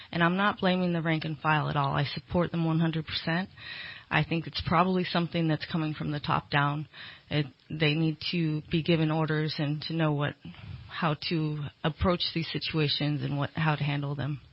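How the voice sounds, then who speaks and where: A woman's voice: deep voice, no room sound. A woman political candidate answering interview questions conversationally, explaining things with some hesitant phrasing. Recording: dull, lo-fi radio interview audio.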